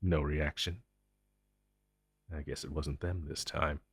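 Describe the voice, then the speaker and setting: Man playing a low, menacing monster interrogator. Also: steady tone, husky, no room sound, full character voice. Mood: taunting, probing. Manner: taunting, condescending, theatrical with deliberate pauses. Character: menacing male monster interrogator, inhuman, smug and possessive. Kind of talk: intense audio roleplay drama.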